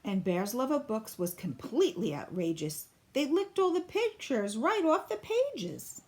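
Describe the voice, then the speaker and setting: Slow-paced woman reading a storybook. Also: on the high side, sing-song, a little mellow, slight background noise. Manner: deliberate, clearly phrased storybook delivery. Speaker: teacher reading a picture book to a class. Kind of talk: expressive children's read-aloud.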